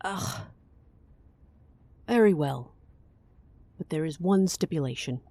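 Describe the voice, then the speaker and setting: An actress playing a stern, haughty, long-suffering female client in an audio drama, speaking deliberately and with exasperation. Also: low voice, expressive, dark tone, exaggerated voice.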